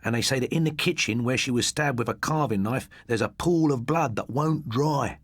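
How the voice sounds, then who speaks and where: Man acting a boastful schoolboy, raised pitch, dramatic, insistent, slightly rough voice, ghost storybook. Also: normal speed, dry recording, studio quality, lightly acted. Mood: spooky, relishing. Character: excitable schoolboy, boastful spinner of ghost tales.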